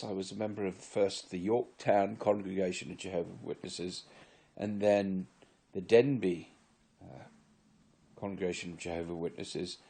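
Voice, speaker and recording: A man's voice: deep voice, vocal fry, dark tone. A man in his sixties from Virginia, speaking in a put-on English accent, gives a rambling, earnest and wry solo testimony. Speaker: former member giving testimony. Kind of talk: solo confessional monologue to camera.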